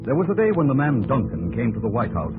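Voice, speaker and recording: Actor as earnest young captain, lively radio delivery. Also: male voice, medium pitch, quick pace, natural voice, low-quality recording. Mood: solemn narration. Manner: solemn, dramatic, clipped and respectful. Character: earnest, loyal young army captain, the president's secretary. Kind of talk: 1950s radio drama narration and acting. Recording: old radio broadcast with music bed.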